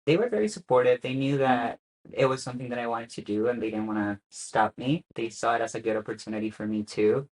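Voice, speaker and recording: A young man speaks at an ordinary middle pitch. He talks casually and a little haltingly, with plenty of "uh" fillers between his thoughts. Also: low-quality recording. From Spain. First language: Spanish. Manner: relaxed, hesitant with frequent "uh" fillers and soft laughs. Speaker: guest. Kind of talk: casual interview chat.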